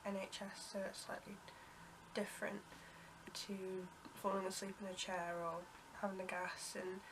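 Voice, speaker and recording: A woman's voice: medium pitch, little pitch movement, normal speed, background noise. A young woman with a cold and a blocked nose, telling a story to camera in a rambling, casual way with many ums and restarts. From United Kingdom. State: congested with a cold. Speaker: vlogger.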